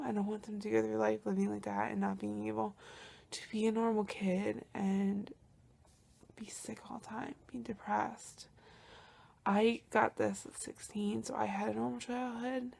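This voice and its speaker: A young woman talking softly in a personal video diary, often hushed and breathy, with sighs between phrases, sounding congested from a cold. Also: mid-range voice. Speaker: vlogger.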